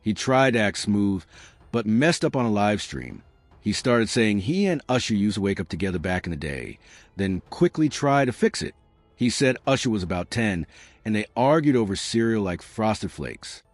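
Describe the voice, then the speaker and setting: A man's voice: lowish voice, lively intonation, moderate pace, no room sound. A man reading a scripted gossip documentary narration as a voice-over. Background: music.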